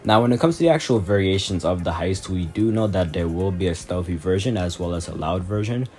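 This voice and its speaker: Male gaming host, deep voice, casual explainer delivery over music. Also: animated, fairly quick, warm tone.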